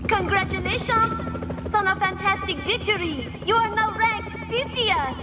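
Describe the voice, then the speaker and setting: A theatrical female handler of killers in a video game. Her voice is extremely high and gushing. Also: measured pace. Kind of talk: video game cutscene acting.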